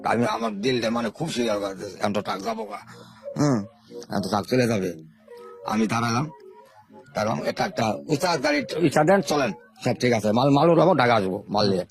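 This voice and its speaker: An older man. He tells his life story to an interviewer at a brisk pace and often repeats his words. Faint music plays under his voice. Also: medium pitch, expressive. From Bangladesh, Sherpur. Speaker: interview guest.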